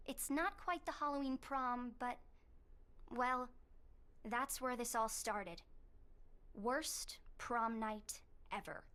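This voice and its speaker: An earnest teenage schoolgirl from a video game cutscene. Her voice sits fairly high and. She talks in casual, hesitant bursts, awkward and self-deprecating. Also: takes it slowly, pauses often, fairly bright tone. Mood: nervous, wry.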